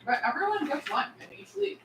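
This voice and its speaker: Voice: very high voice, animated, fast talker, sharp tone. A person chatting playfully in a group, jokey and self-mocking. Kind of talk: casual playful chat. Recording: echoey, distant, noisy room.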